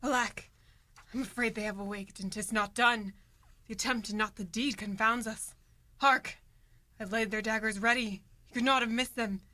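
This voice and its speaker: A woman's voice: a little high, fairly even intonation, moderate pace, pushed and bright, dry recording. A woman acting a scornful, scheming noblewoman in a Shakespearean radio play, speaking verse with commanding urgency. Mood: tense, anxious. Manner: commanding, dramatic, urgent Elizabethan verse delivery.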